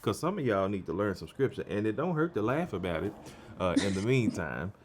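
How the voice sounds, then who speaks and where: A man hosts an interview with a low, swooping voice that rises and falls a lot. He talks casually and jokes with his listeners, urging them on like a preacher. A faint hiss of noise sits behind him. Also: big pitch swings, fairly quick. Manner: playful, preachy, joking with the audience. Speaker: interview host.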